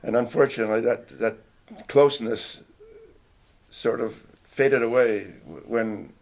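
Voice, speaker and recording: A man's voice: mid-range voice, a little slow, darkish tone. An older man whose first language was Finnish, recalling his childhood in a hesitant, reflective oral history interview. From Canada. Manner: hesitant, reflective, frequent restarts and throat clearing.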